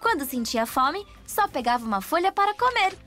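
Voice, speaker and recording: Woman storyteller for children's cartoons, high and animated, soft music bed. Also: fairly quick. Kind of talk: scripted children's story narration.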